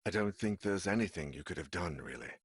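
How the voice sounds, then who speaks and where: A man playing a haunted, weary game character full of dread, grim and halting in his delivery, with dramatic acting. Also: sits low, expressive, slightly rough, exaggerated voice. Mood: drained, subdued. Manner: grim, halting, swearing under stress. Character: haunted, weary man searching an evil house, dread-filled. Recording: loud music behind the voice.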